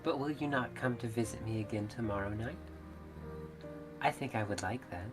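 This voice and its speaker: A woman voicing a lonely, gentle mermaid in a visual novel, speaking in formal, earnest phrases. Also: extremely low pitch, moderate pace. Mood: wistful, gentle. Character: lonely gentle mermaid, grateful and wistful, fond of humans. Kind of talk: visual novel character voice acting. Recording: music playing behind the voice. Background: music.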